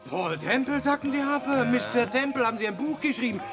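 A shrill, over-eager fan who chatters effusively. His voice is very high, and music runs clearly underneath. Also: expressive, a little fast. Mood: gushing. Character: gushing excitable male fan. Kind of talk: 1950s radio drama acting.

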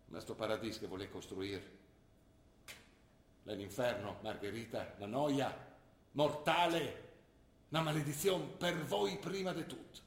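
A man's voice: mid-range voice, a little slow, long pauses, close to an everyday voice. An older man playing an ill, worried father who lectures and pleads with his daughter, in an earnest manner. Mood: lecturing. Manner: earnest, lecturing, rising to anguished pleas. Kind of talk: stage drama acting. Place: theatre stage. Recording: roomy stage recording.

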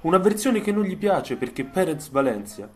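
A man narrating a scripted story for radio, in measured phrases with dramatic pauses. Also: a little high, very animated. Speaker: storyteller and author. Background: soundtrack music.

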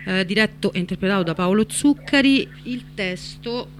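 A woman's voice: medium pitch, normal speed, a little hiss or hum. A woman hosting a community radio show, announcing the next segment in a chatty, self-mocking way. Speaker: radio host.

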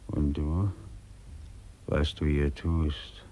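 An actor playing a menacing, accusing one-legged giant of a husband in a German radio play, heavy and repetitive in speech. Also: male voice, fairly even intonation, extremely slow, heavily acted. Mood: dull accusation. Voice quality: very deep, husky, dark voice.

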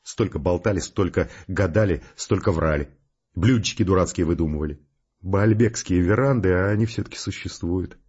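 Deep-voiced, agitated sardonic scientist. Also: male voice, moderate pace, a little mellow, husky, highs cut off. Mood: sardonic, excited. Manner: conversational, sardonic, impatient. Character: agitated sardonic male scientist, arguing and brooding. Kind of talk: Russian radio drama acting and first-person narration.

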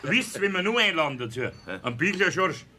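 A man's voice: high voice, sing-song, fairly quick, bright, forceful tone, slight background noise, full character voice. An actor playing a boisterous, enthusiastic craftsman with a colloquial Bavarian accent in a radio play. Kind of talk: 1970s radio play acting.

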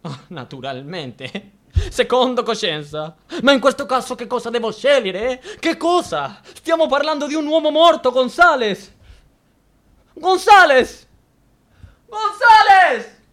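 Nervous spineless judge in a radio play, agitated rambling, whiny self-pity, keeps going eh?. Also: male voice, very high-pitched, very animated, unhurried, a bit bright, full character voice. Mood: pleading. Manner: agitated rambling, peppered with questioning "eh" tags. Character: anxious, self-pitying, cowardly male judge fearing for his job. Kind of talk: stage-style radio drama acting.

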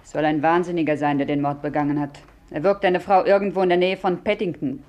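A woman's voice: sits low, fairly even intonation, quick pace, a little mellow, highs cut off, slight background noise, natural voice. An actress playing a self-possessed, laconic woman traveller with wry wit, in a 1950s German radio play. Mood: wry, offhand.

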